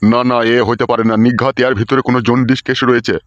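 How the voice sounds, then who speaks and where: A man's voice: mid-range voice, flat delivery, fast talker, dry recording, highs cut off, close to an everyday voice. A man voicing a grumpy, suspicious old village father in a comedy cartoon. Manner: grumbling, crude.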